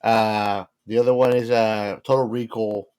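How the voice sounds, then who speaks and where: A man's voice: lowish voice, level tone, measured pace, a little mellow, smooth, no echo. A man co-hosting a casual film chat, informal, repeating himself as he searches for the right words. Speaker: podcast co-host.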